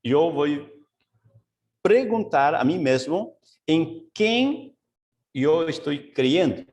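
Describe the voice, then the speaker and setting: Man, pastor, Bible study over video call, lively rising and falling pitch, somewhat high voice, mixes Portuguese and Spanish. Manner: mixes Portuguese and Spanish, slow and searching, many fillers and asides.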